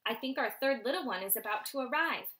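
A woman's voice: a little high, expressive, quick pace, bright, forceful tone. A woman voicing an eager mother barn owl. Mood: tender.

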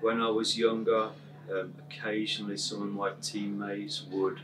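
A middle-aged man asking interview questions gently, with hesitations and "sort of" fillers. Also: on the low side, monotone, normal speed, noisy background. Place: large room by a window, indoors. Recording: distant mic with clear room ambience.

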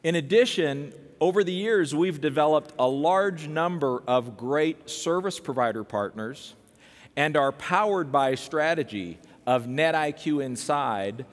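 A man's voice: a little high, animated, unhurried. Older man giving a keynote on stage. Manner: expansive, gesturing, upbeat. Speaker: company president giving keynote. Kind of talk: keynote speech. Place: large dark conference stage. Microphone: headset mic. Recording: long hall reverb.

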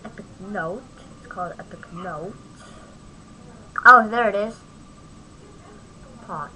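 A woman giving a casual, off-the-cuff software tutorial, thinking aloud as she demonstrates on a home microphone recording. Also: mid-range voice, slow pace, a little hiss or hum, low-quality recording. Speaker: tutorial host.

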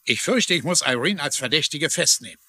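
An actor playing a folksy middle-aged sheriff in a TV detective drama, with hesitant hems and official phrasing. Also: male voice, fairly high pitch, lively intonation, brisk, pushed and bright, a little hiss or hum. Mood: grave, accusing. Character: folksy middle-aged small-town sheriff, plodding but dutiful. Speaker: actor in a dubbed crime drama. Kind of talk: German-dubbed TV detective drama acting.

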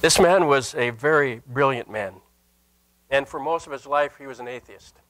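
An older man, a Lutheran pastor, preaching a sermon in an earnest, emphatic manner with deliberate pauses and repeated phrases. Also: medium pitch, fairly bright tone, poor audio. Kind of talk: sermon with scripture and anecdote. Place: indoor church sanctuary at a lectern.

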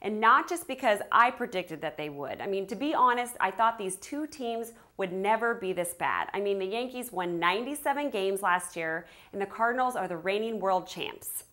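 A woman's voice: medium pitch, lively intonation, normal speed, hardly any pauses, pushed and bright, no echo. A woman in her thirties hosting TV segments and interviews, chatty and playful in a bubbly way. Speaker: TV host. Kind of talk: upbeat hosting and promo presenting.

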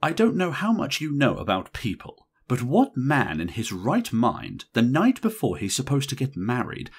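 A man reading an audiobook, voicing a brash, irritable young woman in a bridesmaid's role who talks in a shrill, insistent way. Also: husky. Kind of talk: audiobook character voice.

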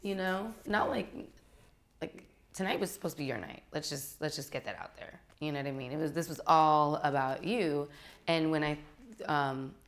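Woman acting flustered, low-voiced American dater. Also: normal speed. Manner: hesitant, evasive, stammering excuses. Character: flustered young woman caught between two dates. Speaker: actor in a comedy sketch. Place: furnished indoor room, filmed sketch.

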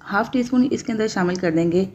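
A woman's voice: medium pitch, fast, a little mellow, dull audio, a little hiss or hum. A woman with a Pakistani Urdu accent, hosting a cooking channel and patiently explaining each step of a recipe and its benefits in a voice-over. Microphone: voice-over over hands-only cooking footage.

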